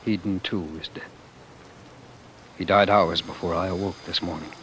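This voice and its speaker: An actor playing a weary, haunted man in a grave, halting 1940s radio drama monologue, on an old broadcast recording. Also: deep voice, fairly even intonation, a bit bright, slight background noise. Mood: haunted, exhausted. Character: weary, haunted man narrating a dying world.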